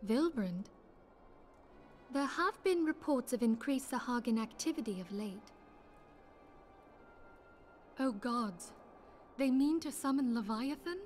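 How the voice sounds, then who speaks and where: Resolute, higher-voiced noble leader. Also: female voice, expressive, slow, pauses often, soft background music. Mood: concerned. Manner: formal, stately archaic diction. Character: earnest, resolute noblewoman leader of a fantasy order. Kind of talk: dramatic video game voice acting.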